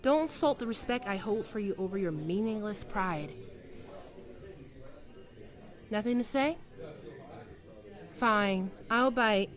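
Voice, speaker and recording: A woman acts out a wistful, proud woman reunited with an old lover in an intimate audio drama. Her medium-pitched voice moves slowly, with hesitant gaps and sighs. She sounds flustered yet guarded. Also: expressive, lightly acted. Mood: indignant, defiant. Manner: hesitant, halting, with sighs and trailing off. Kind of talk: intimate ASMR roleplay acting.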